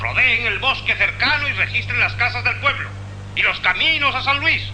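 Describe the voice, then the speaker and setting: An actor playing a sarcastic, impatient police commissioner in a radio play, barking orders through a telephone-like filter. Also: male voice, extremely high pitch, animated, normal speed, pushed and bright, full character voice. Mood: commanding. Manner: scolding, rhetorical, commanding. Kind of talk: dramatic radio play acting. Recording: noisy, humming phone-line radio effect.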